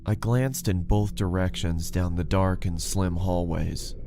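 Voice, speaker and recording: A man's voice: low-pitched, fairly even intonation, unhurried, background noise. A man reading a scripted first-person horror story as its narrator.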